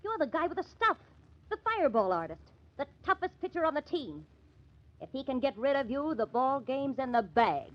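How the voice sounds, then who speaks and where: Cynical, scolding American dame, fairly high voice, sarcastic slang, dull vintage radio crime drama. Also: female voice, very animated, moderate pace. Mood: urgent, scolding. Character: pitcher's sharp-tongued, cynical, pleading girlfriend. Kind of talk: old-time radio crime drama acting. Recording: dull old-time radio recording.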